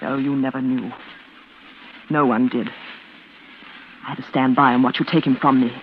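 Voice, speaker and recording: A woman's voice: a bass voice, steady tone, normal speed, a little hiss or hum. An actress playing a bitter, scheming woman caring for her mad sister, in melodramatic, intense 1940s radio suspense style. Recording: tinny vintage radio recording, loud organ music.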